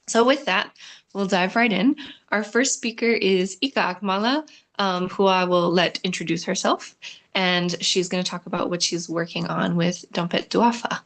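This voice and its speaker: A woman hosts an online panel over a video call. Her voice sits a little low. She talks in a relaxed, conversational way, with plenty of "um"s. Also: moderate pace. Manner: relaxed, conversational, many "um" fillers. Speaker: host and moderator.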